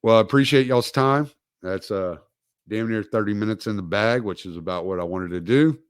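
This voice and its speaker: Male host, low-pitched, dry close sound, laid-back livestream chatter. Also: normal speed, clean tone, no room sound. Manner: laid-back, chuckling, trailing off. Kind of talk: casual livestream talk.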